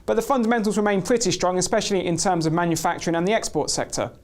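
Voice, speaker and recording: A man's voice: high-pitched, racing, background noise. A man presenting financial news in a scripted broadcast style and putting questions to a guest.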